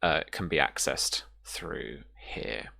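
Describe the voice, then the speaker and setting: A man narrating a tabletop role-playing game as game master in an improvised, halting way, pausing to find his words. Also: a bass voice, vocal fry, bright, forceful tone.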